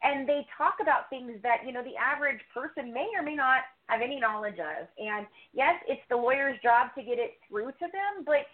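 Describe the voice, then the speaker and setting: A woman's voice: high-pitched, a little fast, few pauses. A woman podcaster answering interview questions in a talkative, opinionated way, circling back to repeat her points. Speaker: true crime podcast co-host, interview guest. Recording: thin, phone-like line.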